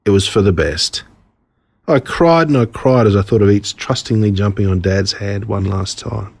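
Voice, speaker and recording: Husky Australian man, animated storyteller. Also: fairly low pitch. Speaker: author reading his own stories. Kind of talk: scripted storytelling narration.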